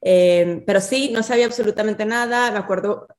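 A woman's voice: mid-range voice, a little fast, a little mellow, echoey room. A woman from Mexico in her thirties with a Mexican accent, speaking as an interview guest in casual talk, slipping English terms in among hesitant fillers. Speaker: army officer guest.

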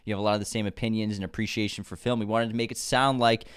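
A man's voice: on the low side, fairly even intonation, rapid-fire, clean tone, dry recording, studio quality. A man with a nasal voice from the United States, chatting energetically as a guest on a podcast. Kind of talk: casual chat.

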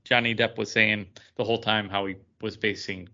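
A man's voice: lowish voice, level tone, normal speed, gravelly, sharp tone, lo-fi sound. A man co-hosting a podcast, talking casually with friends. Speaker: podcast co-host. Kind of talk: casual chat, reading out a list.

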